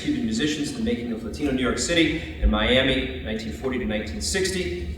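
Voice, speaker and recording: A man's voice: mid-range voice, a bit bright. A man hosting an event and reading a formal introduction of the guest speaker, with many "uh"s. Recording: echoey room, distant mic, faint noise.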